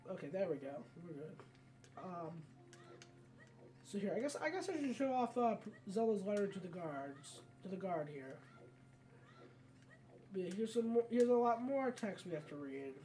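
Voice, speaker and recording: A casual let's play commentator with a soft, mellow tone. The talk is conversational and tends to ramble along. It is a home gameplay recording with faint noise behind the voice. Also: mid-range voice, very animated, moderate pace, pauses often.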